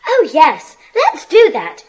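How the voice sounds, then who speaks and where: A woman playing an eager, excitable, chatty schoolchild in an audio drama. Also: very high-pitched, slow pace, full character voice.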